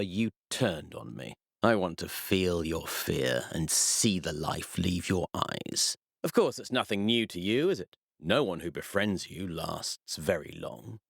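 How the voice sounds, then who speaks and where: An actor playing a cold, pompous, sadistic scientist villain in a video game, speaking with condescending, precise diction. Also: male voice, lowish voice, no room sound, studio quality, exaggerated voice. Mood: menacing contempt. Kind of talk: video game villain voice acting, monologues and research logs.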